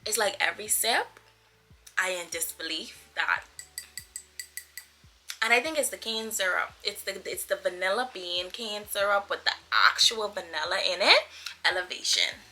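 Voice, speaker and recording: A woman's voice: medium pitch, animated, normal speed, sharp tone, soft background music, low-quality recording. A woman of twenty-seven from the Bahamas chatting casually to her viewers as a YouTuber, playful, effusive and slangy. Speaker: YouTuber, vlogger.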